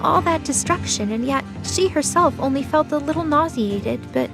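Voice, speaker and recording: Female narrator, high voice, dramatic scripted storytelling for an audio drama, music underneath. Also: lively intonation, moderate pace, gravelly.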